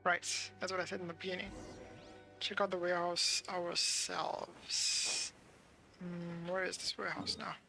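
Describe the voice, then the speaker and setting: A woman's voice: lowish voice, level tone, measured pace, creaky, bright, forceful tone, faint music behind. A woman streamer giving casual live gameplay commentary, musing aloud in an offhand way.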